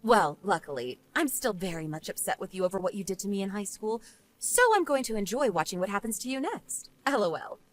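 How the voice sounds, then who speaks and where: A woman's voice: mid-range voice, expressive, a little fast, close to an everyday voice. A woman playing a smug, vengeful wife and former bullying victim in scripted story dialogue, taunting and sarcastic. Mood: cool taunting.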